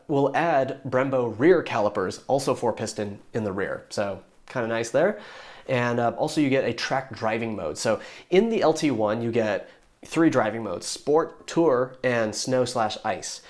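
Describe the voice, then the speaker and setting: A hoarse young man talking casually and enthusiastically, rambling with fillers and restarts as he explains things to his viewers. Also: medium pitch, a little slow. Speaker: YouTube car reviewer.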